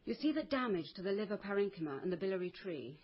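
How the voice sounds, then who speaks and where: An actress playing an earnest, hesitant laboratory doctor in a radio drama, careful and clinical in manner. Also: female voice, mid-range voice, animated, fast, husky, highs cut off. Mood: businesslike. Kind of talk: naturalistic radio drama acting.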